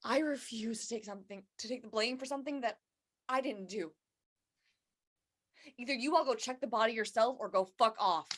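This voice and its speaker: Woman playing a sharp, high-voiced, sweary cougar. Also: a little fast, pauses often. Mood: bitter, defiant. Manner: indignant, sweary, blunt. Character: foul-mouthed defiant female cougar accused of murder. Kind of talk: staged reading acting.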